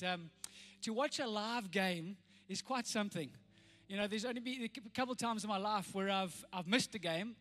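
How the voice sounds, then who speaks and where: A middle-aged South African man with a slightly croaky voice, praying and preaching a sermon with earnest, rising emphasis. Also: high-pitched, sharp tone. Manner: earnest, builds to rousing emphasis. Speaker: pastor. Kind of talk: sermon with prayer.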